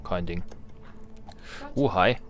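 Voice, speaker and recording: A man's voice: deep voice, takes it slowly. A man doing casual gameplay commentary, thinking aloud and muttering playful, wry asides to himself. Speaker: let's player.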